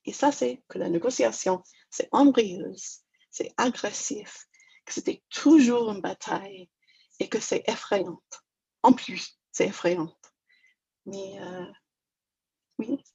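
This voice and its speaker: A woman's voice: mid-range voice, slow pace, gravelly, pauses often, darkish tone, slightly rough, rough recording. A woman from Toronto with an English Canadian accent, speaking French as a second language in a webinar, hesitant and self-deprecating with many fillers. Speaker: negotiation trainer. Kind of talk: webinar presentation.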